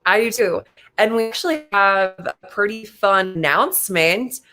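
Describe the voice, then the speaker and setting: A man in his late twenties co-hosting a casual sports talk show, animated and quick in bursts, with many fillers. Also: high-pitched. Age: late 20s.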